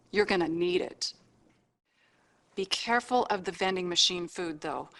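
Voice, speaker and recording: A woman's voice: mid-range voice, a bit bright. An actress playing a sassy, no-nonsense admitting nurse in a video game, bossy and informal in the way she talks. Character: brassy, wisecracking, no-nonsense hospital admitting nurse. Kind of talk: scripted video game cutscene acting.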